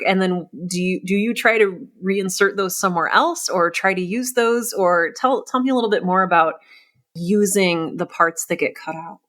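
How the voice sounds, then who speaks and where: A woman's voice: fairly low pitch, a bit bright. A woman hosting a conversational podcast interview, encouraging and rephrasing her questions as she goes.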